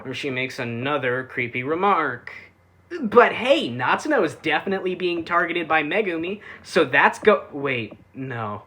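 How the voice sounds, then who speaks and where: Animated reviewer with sweeping intonation. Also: medium pitch, a little slow. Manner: sarcastic asides and dry jokes. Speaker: anime reviewer, narrator. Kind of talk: casual scripted video review narration.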